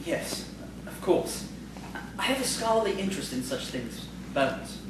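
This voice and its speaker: A woman's voice: mid-range voice, very animated, measured pace. A woman playing a polite, timid, bookish male schoolteacher in audio theatre, hesitant and prim. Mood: nervous, courteous. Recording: distant, echoey, noisy stage recording.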